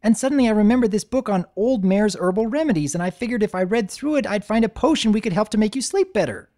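A woman's voice: mid-range voice, sing-song, moderate pace, darkish tone, voice pushed up. A woman voicing a young male baby dragon, defensive and stammering. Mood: pleading. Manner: stammering, pleading.

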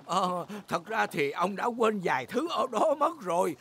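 A man's voice: high-pitched, very animated, a little slow, creaky, slightly rough, exaggerated voice. A man voicing a jolly, absent-minded old grandpa pig sailor in a cartoon dub, hearty and a little hesitant. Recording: loud outdoor sound effects behind.

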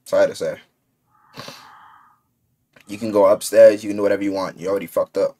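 A man's voice: lowish voice, steady tone, brisk, dark tone. A man giving casual, slangy reaction commentary, informal and incredulous, recorded indoors in a bedroom. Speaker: reaction video creator.

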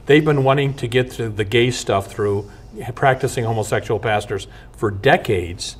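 An older man hosts a sit-down studio interview and speaks bluntly as a clergyman. He pushes with summarizing questions and short interjections, in a voice of middling pitch. The sound is clean and close. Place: studio set with bookshelf backdrop, seated at table.

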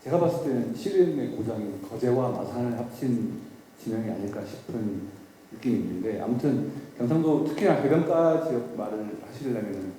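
A man's voice: mid-range voice, a little fast, dark tone, a little hiss or hum. A man with a Gyeongsang accent asking a long, rambling question at a press event. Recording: echoey hall, distant from mic.

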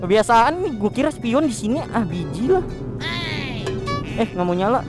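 A young man, chatting excitedly in slang through a helmet intercom while riding a motorbike at night. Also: high voice, moderate pace, over music. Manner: excitable, slangy, exclaims often. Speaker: motovlogger. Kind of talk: casual riding vlog chat. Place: on a motorbike on city streets at night.

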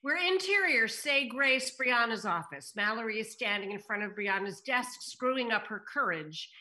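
Bright-voiced older woman reading stage directions. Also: a little high, lively intonation, moderate pace, poor audio. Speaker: table-read narrator reading stage directions. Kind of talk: scripted narration read aloud. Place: room at home. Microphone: video call.

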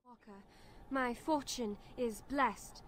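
An actress playing a gentle alien native healer in a video game, speaking formally. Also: female voice, a little high, slow, a little hiss or hum. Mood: grateful, pleading. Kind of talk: video game character acting.

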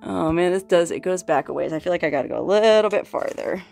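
A woman's voice: lowish voice, quick pace, gravelly, a little mellow, soft background music. Chatty woman exploring with a handheld phone. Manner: chatty, laughing, awed exclamations. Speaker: solo explorer vlogger. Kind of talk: casual exploring commentary. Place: inside an old mine and outdoors in a desert canyon. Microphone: handheld phone at arm's length.

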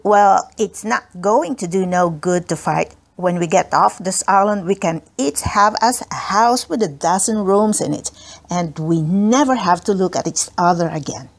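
A woman's voice: on the low side, unhurried, creaky. A woman reading the part of a grumpy male robber in a children's book, grumbling and fed up.

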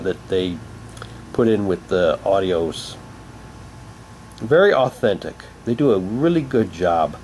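A man's voice: fairly low pitch, darkish tone, a little hiss or hum, rough recording. A man casually commenting and reading documents aloud as he presents an unboxing. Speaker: unboxing vlogger.

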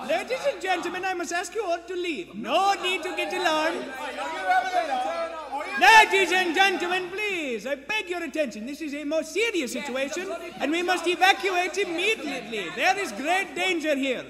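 An actor playing an earnest, excitable Scotland Yard detective with urgent, declamatory delivery in a stage radio play. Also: male voice, very high-pitched, moderate pace, over a noisy crowd. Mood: alarmed, pleading. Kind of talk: 1940s radio play acting. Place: theater stage in front of an audience. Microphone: standing stage microphone. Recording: live theater stage, long reverb, music behind.

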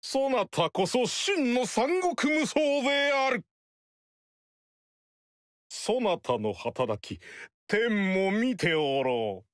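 A man's voice: very high voice, no room sound, clean studio sound, full character voice. An actor playing a grandiose male sorcerer-priest who proclaims heaven's will in a solemn, archaic way. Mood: proclaiming. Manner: solemn and pompous, slow declaiming. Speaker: Zhang Jiao. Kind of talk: game voice acting.

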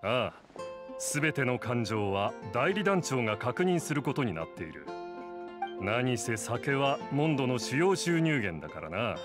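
An actor playing a gruff, dry middle-aged tavern bartender in a Japanese game. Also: male voice, mid-range voice, sing-song, a little slow, music in the background. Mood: matter-of-fact.

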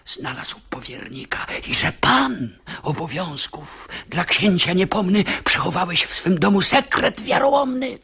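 An actor playing an urgent, loyal young gentleman in a verse radio play, with a husky voice. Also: high voice, exaggerated voice. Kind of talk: verse stage comedy performed as radio drama.

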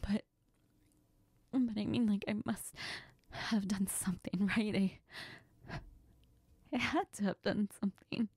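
A woman playing a heartbroken young woman crying to her best friend in an intimate audio role-play, halting and apologetic, often slipping into whispers. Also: medium pitch, steady tone, a little mellow, husky, no echo. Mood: sobbing, heartbroken. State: crying, tearful, sniffling.

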